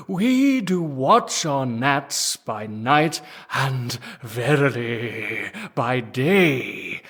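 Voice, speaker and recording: Pompous old priest for comic audio drama: high-pitched, very slow, declamatory. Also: male voice, exaggerated voice. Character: pompous elderly priest telling mock-biblical tales. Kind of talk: comic audio drama acting, archaic preaching.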